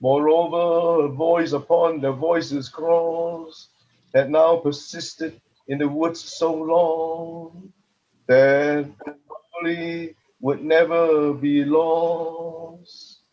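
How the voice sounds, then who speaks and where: Slow, higher-pitched man reading poetry. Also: level tone, darkish tone. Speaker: participant reading poetry aloud. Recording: dull, lo-fi sound.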